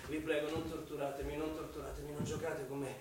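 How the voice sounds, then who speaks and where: An actor playing a tormented murder suspect, feverish and defiant, repeating himself obsessively in a theatrical radio drama. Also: male voice, a little high, flat delivery, fast talker, a loud crowd, natural voice. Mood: frantic, pleading. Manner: obsessive, frantic repetition. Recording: very echoey distant recording, loud music behind.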